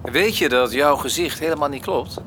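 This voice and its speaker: A man's voice: fairly high pitch, moderate pace, a bit bright, slight background noise, natural voice. An actor in a radio play voicing a cocky, eccentric young intellectual man with a pompous, theatrical way of talking. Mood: boastful, teasing. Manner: pompous, theatrical, hesitating for effect.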